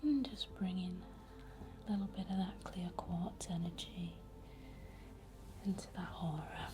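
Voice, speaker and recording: A woman whispering softly and soothingly in an unhurried ASMR guided meditation, leading a healing session. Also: fairly low pitch, over music.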